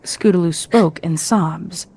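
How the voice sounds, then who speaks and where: A woman with a fairly low voice narrates a story. Her reading is measured and dramatic, clearly scripted. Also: mellow.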